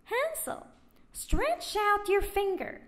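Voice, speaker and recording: A woman voicing a wicked, spiteful old witch in a storybook reading, with a shrill, loud and bossy way of talking. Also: expressive, takes it slowly, exaggerated voice. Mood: scolding.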